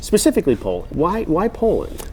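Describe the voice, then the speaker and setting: A man hosting a short company interview segment, asking questions in an upbeat, animated way. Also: sits high, fairly quick. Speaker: host of company interview series.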